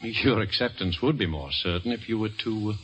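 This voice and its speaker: An actor playing a cautious, paternal older doctor in an old-time radio drama, with a measured, slightly patronizing manner. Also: male voice, fairly low pitch, a bit bright, slight background noise, lightly acted, low-quality recording. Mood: cautioning, paternal. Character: paternal older male doctor, cautious but fond mentor.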